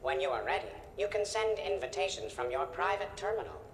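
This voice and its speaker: A man voicing a polite virtual assistant drone in a video game, speaking formally and with measured, precise diction. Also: sits high, very animated, slight background noise. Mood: courteous. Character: polite, obliging virtual butler drone of calm temper. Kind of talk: scripted game dialogue.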